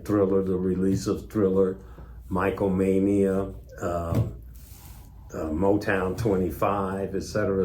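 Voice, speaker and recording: An older man reminiscing as an interview guest in a measured, modest, hesitant way. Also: low voice, warm tone. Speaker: interview guest, tour manager. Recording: faint background noise.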